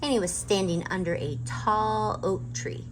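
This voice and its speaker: A woman's voice: medium pitch, measured pace, noisy background. A woman hosting a children's storytime and reading a chapter book aloud. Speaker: storytime host and reader.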